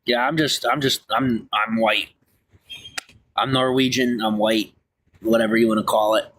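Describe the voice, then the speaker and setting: A man's voice: mid-range voice, fairly even intonation, unhurried, a bit bright. Man rambling to his livestream chat. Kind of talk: casual rambling chat, reading and answering messages.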